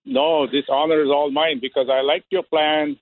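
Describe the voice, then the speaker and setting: A man's voice: fairly high pitch, big pitch swings, normal speed, creaky. A man calling in to a radio show, rambling and talking in fits and starts. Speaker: caller. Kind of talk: phone-in call. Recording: tinny phone line.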